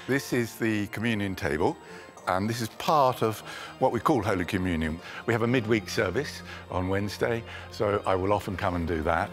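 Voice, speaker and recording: An older man, a retired English vicar, chatting genially in a documentary interview recorded on location with a lapel mic. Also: low voice. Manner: chuckling, genial. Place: garden and church, on location.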